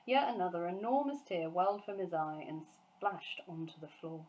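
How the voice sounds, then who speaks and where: A woman's voice: fairly low pitch, moderate pace, darkish tone, dull audio. A woman teacher reading a children's storybook aloud as its narrator.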